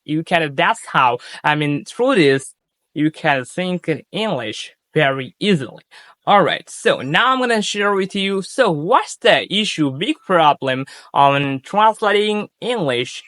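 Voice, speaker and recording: Earnest young Bangladeshi man, fairly high voice. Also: fairly bright tone, dry recording. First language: Bengali. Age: young adult. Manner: earnest, repetitive, often restarting and correcting himself. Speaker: English-speaking coach, channel host. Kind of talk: casual tips vlog.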